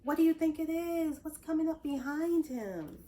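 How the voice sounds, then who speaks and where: A woman reading a story aloud to young children, expressive, pausing to explain things and ask simple questions. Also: sits high, a little hiss or hum. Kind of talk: animated read-aloud narration.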